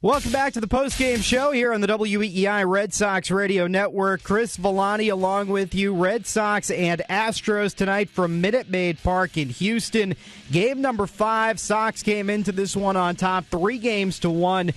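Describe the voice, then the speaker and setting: A man hosting a radio postgame show, recapping the highlights in a brisk, informative, scripted way. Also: fairly bright tone.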